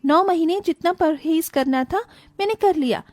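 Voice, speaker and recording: A woman playing a selfish, vain, irritable young mother in an animated moral story, with a whiny, dramatic delivery. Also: extremely high pitch, normal speed, no echo, studio quality. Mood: irritated, dismissive.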